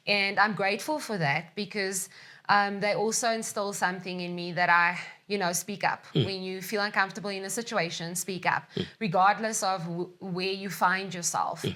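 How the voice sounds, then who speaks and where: South African woman coach, bright tone, thoughtful with frequent ums. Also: fairly low pitch. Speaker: netball coach. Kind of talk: casual interview answers. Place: studio.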